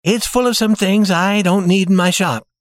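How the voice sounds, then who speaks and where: A man's voice: high-pitched, steady tone, moderate pace, no room sound, clean studio sound, voice pushed up, close to an everyday voice. A man voicing a composed young reformed safecracker in an audiobook story. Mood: easygoing. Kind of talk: audiobook character voice.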